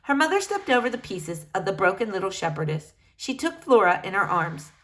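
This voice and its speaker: A woman reading a children's book aloud with expressive storytelling. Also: medium pitch, brisk. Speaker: reader.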